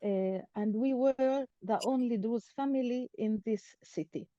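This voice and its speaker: Soft-toned woman giving a measured talk. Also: on the high side, no echo, muffled recording. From Israel. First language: Arabic. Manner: measured, reading from notes, with small grammar slips. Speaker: guest speaker. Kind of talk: prepared talk over video call.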